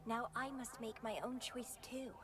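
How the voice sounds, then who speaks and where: A woman voicing a proud, unstable, haunted young woman in a video game, with breathy, theatrical delivery. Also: a little high, level tone, unhurried, close to an everyday voice. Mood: solemn, defiant. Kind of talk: dramatic video game voice acting. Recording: game music behind the voice.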